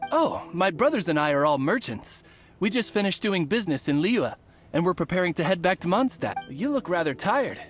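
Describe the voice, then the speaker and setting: A man's voice: high voice, very animated, brisk, soft background music. A man voicing a sly bandit who poses as a friendly merchant and speaks in a fake-friendly manner. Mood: smooth. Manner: fake-friendly, then snarling.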